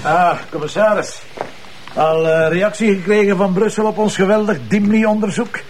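A man's voice: high-pitched, steady tone, moderate pace, slight background noise, lightly acted. A man with a Flemish accent acting a sardonic, insubordinate police detective in a radio drama. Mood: mocking. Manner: sarcastic, needling.

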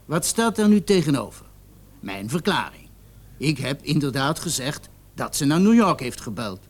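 High-voiced, stubborn young suspect. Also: male voice, big pitch swings, normal speed. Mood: reasoning, careful. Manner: insistent, hesitating and repeating himself when pressed. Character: stubborn, indignant young male murder suspect under interrogation. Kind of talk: radio drama acting.

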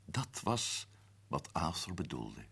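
A man playing a shrewd man explaining the solution of a mystery in a radio play, husky and measured. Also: very deep voice, sing-song, over loud music, heavily acted. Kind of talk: radio drama acting.

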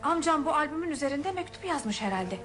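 An actress playing a polite, curious, quick-witted young upper-class woman in a Turkish radio drama. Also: sits high, sing-song, music in the background. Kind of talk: Turkish radio theater acting.

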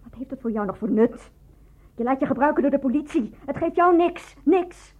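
A woman's voice: high-pitched, expressive, normal speed. A woman in a radio drama playing a wary, wounded nurse in her thirties who speaks in a defensive, confessional way. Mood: defensive, agitated. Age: 30s. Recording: dull lo-fi tinny radio play recording.

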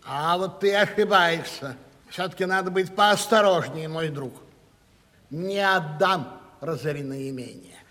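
An actor in a radio comedy playing a pompous, sentimental, genteel landowner who orates in an unctuous manner. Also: male voice, fairly high pitch, a little slow, sharp tone. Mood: mild reproach. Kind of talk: classic Russian stage comedy radio acting. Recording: 1970s radio play, reverberant hall.

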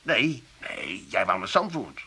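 An actor playing an exasperated, complaining husband in a comic radio play. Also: male voice, low voice, wildly swooping pitch, slow pace, pushed and bright, slightly rough, full character voice. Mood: grumbling. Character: impatient, exasperated Amsterdam husband and grown son.

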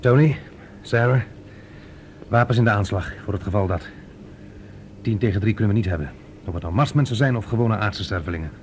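An actor playing an alert, practical spaceman with a brisk, questioning manner in a science-fiction radio drama. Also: on the low side, natural voice. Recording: noisy old radio drama recording.

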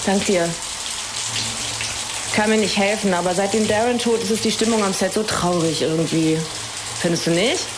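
A woman's voice: medium pitch, normal speed, long pauses, noisy background, lightly acted. Actress as wary, casual young female performer. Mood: casual, wistful. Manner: colloquial, questioning. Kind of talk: radio crime drama acting. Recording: music behind the voice.

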